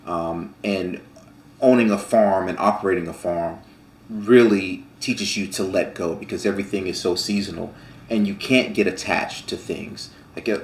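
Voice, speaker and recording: A man co-hosting a casual farm chat who speaks in a reflective, measured way with fillers. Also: sits low. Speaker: farm vlog co-host. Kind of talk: casual conversation. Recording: echoey room.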